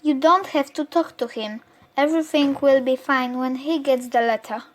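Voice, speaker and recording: A woman's voice: high-pitched, moderate pace, close to an everyday voice. A young female student playing a protective, urgent male vagabond in a school radio play. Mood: reassuring. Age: child or teenager.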